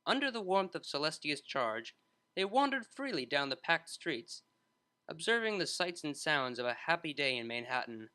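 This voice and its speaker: A narrator reading a story aloud in a scripted, expressive storytelling style. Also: mid-range voice, moderate pace.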